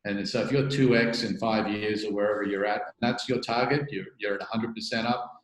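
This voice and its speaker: A man's voice: on the low side, steady tone, brisk. A man being interviewed as a guest, explaining things conversationally and often tagging on "right?". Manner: explanatory, many restarts and "right?" tags. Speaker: venture capital partner, guest. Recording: echoey room.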